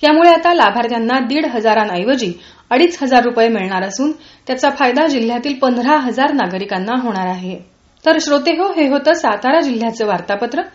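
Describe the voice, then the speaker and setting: High-pitched woman reading radio news. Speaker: radio newsreader. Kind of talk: scripted regional news bulletin reading. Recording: dull band-limited radio audio, roomy echo.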